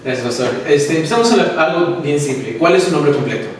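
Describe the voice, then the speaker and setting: A young adult man interviewing a pastor, upbeat, with quick reactions and English words slipped in. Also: fairly high pitch, expressive, a little hiss or hum. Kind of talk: casual interview questions. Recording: echoey room, far from the mic.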